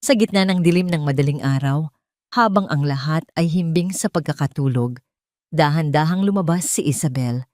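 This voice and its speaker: A woman's voice: sits low, moderate pace, darkish tone, dry recording, clean studio sound. A woman narrating a scripted dramatic story.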